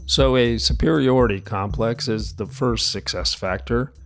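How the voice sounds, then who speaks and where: A man hosting a podcast, narrating between interview clips in a measured, even way. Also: mid-range voice, over music.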